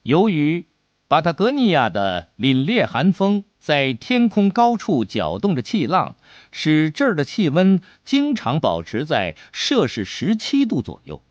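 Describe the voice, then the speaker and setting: A man narrating an adventure novel as an audiobook, with expressive, deliberate dramatic storytelling. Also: on the high side, dry recording, highs cut off.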